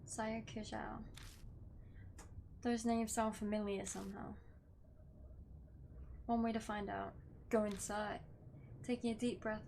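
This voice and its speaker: A woman's voice: medium pitch, creaky, darkish tone, slight background noise. A woman game streamer reading a visual novel aloud, breaking off for casual commentary. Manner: casual reactions between read-out lines. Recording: music behind the voice. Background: game music.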